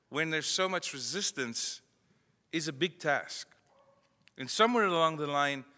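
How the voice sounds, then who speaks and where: A South African man speaks to an audience from a stage, chatty and self-deprecating, and now and then he acts out bits of dialogue. His voice is bright and pushed forward. It rings with the long reverb of a large hall. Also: fairly high pitch. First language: not English. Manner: conversational, self-deprecating, acts out phone calls. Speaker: keynote speaker. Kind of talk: motivational speech to an audience. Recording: echoey hall.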